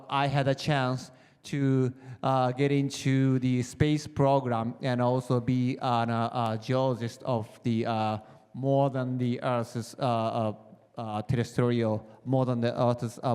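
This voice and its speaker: A man with a Japanese accent and a smooth, resonant voice gives a short panel talk. He speaks haltingly, with many pauses and fillers. The long reverb of a conference hall surrounds him. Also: medium pitch. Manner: halting, many "uh" fillers, non-native phrasing. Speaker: planetary geologist presenting on a panel.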